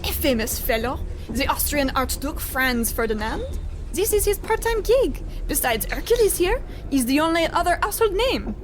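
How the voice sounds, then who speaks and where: A woman's voice: high voice, sing-song, moderate pace, faint music behind. A woman playing a coquettish femme fatale opera singer, in an old-time radio comedy style. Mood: coy, dramatic. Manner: coquettish, theatrical.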